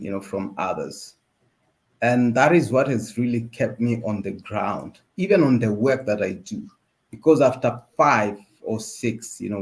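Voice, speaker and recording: A middle-aged man from Tanzania, a podcast guest introducing himself in a measured way, with frequent fillers. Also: fairly low pitch, a little mellow.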